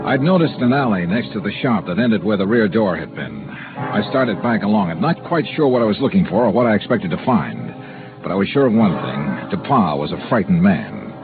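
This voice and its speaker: Casting: hardboiled but easygoing American investigator, animated delivery, muffled vintage radio sound with music behind. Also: male voice, lowish voice, a little fast, creaky. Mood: matter-of-fact narrating. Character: wry, brisk male insurance investigator, hardboiled but easygoing. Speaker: lead actor and narrator. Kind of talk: 1950s American radio detective drama with first-person narration.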